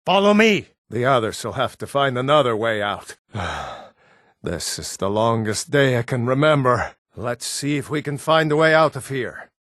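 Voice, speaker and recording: A man's voice: medium pitch, no echo, studio quality. An actor playing a steadfast, plain-spoken Nord rebel who urges his comrades on in a fantasy video game. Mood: urgent. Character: rugged, steadfast Nord rebel man, wry and urgent. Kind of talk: fantasy game dialogue lines.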